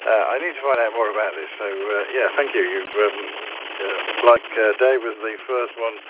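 Midlands radio ham, kinda slow and umming, low voice, tinny line full of hiss. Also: male voice. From English Midlands. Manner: measured, hesitant with fillers. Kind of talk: casual radio chat.

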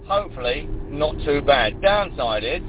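A man's voice: a little high, very animated, normal speed. A man on a two-way radio, chatting casually in a rambling, hesitant way with many fillers. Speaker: radio amateur. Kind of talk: casual chat over the air. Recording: tinny radio channel with noise.

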